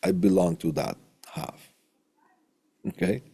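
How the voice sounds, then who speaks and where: A man with a gravelly, husky voice giving an informal recorded lecture as an instructor, halting and hesitant with long pauses, close on a studio condenser mic. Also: low voice, lively intonation, mellow, no room sound. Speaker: college instructor teaching audio equipment. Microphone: close studio condenser microphone through tube preamp.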